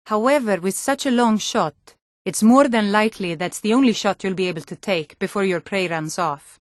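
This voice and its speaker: A woman's voice: medium pitch, no room sound, studio quality, lightly acted. A woman voicing a guarded, self-reliant young archery instructor in a video game, plain-spoken and composed. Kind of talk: video game character dialogue.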